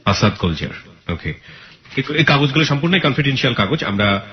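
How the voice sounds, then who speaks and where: A man's voice: medium pitch, lively intonation, fairly quick, muffled recording. A man with a Bangladeshi accent hosting a radio show, interviewing a guest about a real-life story with probing questions.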